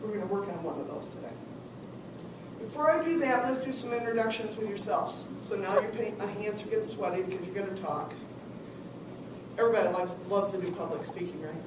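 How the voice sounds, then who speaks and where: A woman's voice: mid-range voice, animated, fairly quick. A woman consultant teaching a business workshop, chatty and asking the class questions, while losing her voice. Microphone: far camera. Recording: echoey room from far off, noisy.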